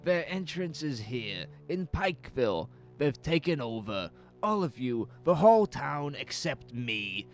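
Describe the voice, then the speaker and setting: An actor playing a frantic, paranoid father urgently ordering his family around in a horror audio drama. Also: mid-range voice. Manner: urgent, forceful, insistent.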